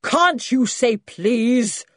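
A woman voicing a cantankerous old great-grandfather in a storybook, gruff and bellowing. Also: lowish voice, animated, slow pace, no echo, clean studio sound. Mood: outraged.

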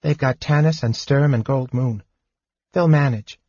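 A man voicing a small, earnest male kender who rushes his words and then turns sober. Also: medium pitch, mellow, slightly rough, no echo, muffled recording, pitched above the performer's own voice. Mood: wistful. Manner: eager rushing speech turning sober.

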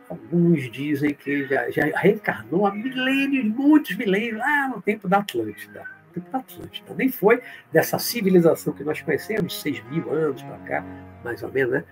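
A man with a fairly high voice that swings widely and expressively. He explains patiently, reads viewer comments aloud and answers each in turn. Soft cello music plays faintly underneath. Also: low-quality recording. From Brazil, born in Olinda, Pernambuco. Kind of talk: live-stream talk reading and answering comments.

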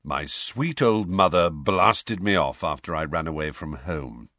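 Audiobook role, man performing: bitter, snappish adult male, curt delivery with wide pitch swings. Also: lively intonation, a little slow, bright, forceful tone, dry recording, studio quality, full character voice. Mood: casual, wry. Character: brusque, bitter, impatient adult man, master of the house. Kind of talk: audiobook character voice.

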